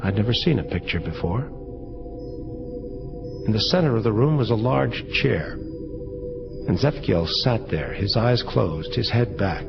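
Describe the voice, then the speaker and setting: A man's voice: on the low side, vocal fry, muffled recording. An actor playing a world-weary male angel detective who narrates his case in hardboiled audio drama style.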